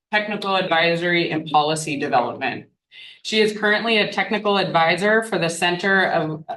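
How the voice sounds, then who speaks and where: Loud woman moderating a panel, echoey. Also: fairly low pitch. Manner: loud, upbeat, with frequent fillers. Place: university seminar room.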